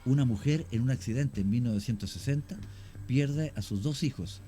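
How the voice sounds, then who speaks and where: A man, a radio guest telling an old ghost legend in a measured way with some "eh" fillers. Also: mid-range voice, mellow, soft background music. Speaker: guest storyteller.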